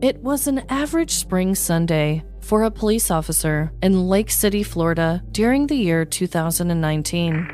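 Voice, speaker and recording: A woman with a slightly low voice hosts a comedy podcast. She tells stories in a deliberate, descriptive way, with music playing underneath. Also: expressive, a little mellow. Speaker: podcast host. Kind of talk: scripted comedic true-crime narration and casual chat.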